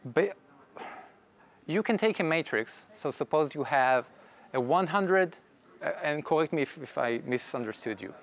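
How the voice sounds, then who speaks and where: A man whose first language is Hebrew, presenting at a technical conference in a fairly high voice. He explains things patiently and step by step, often restarting his sentences. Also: expressive, moderate pace. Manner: explanatory, frequent restarts and fillers. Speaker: conference speaker. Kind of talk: technical talk with audience Q&A.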